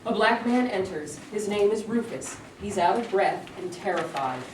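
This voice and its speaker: A woman's voice: mid-range voice, expressive, normal speed. A woman reading stage directions as scripted narration at a staged reading. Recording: echoey room, distant mic, faint noise.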